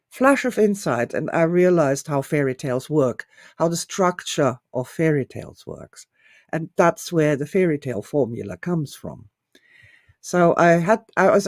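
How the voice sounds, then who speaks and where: A woman's voice: sits low, mellow, dry recording, studio quality. A middle-aged woman giving an informal, enthusiastic talk as an author and teacher, gushing and repeating phrases for emphasis.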